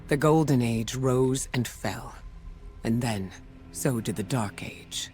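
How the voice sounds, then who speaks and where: An actor playing a calm, seasoned mentor in a video game cutscene, speaking in a measured, grave way. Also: male voice, mid-range voice, faint music behind, natural voice. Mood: grave, consoling.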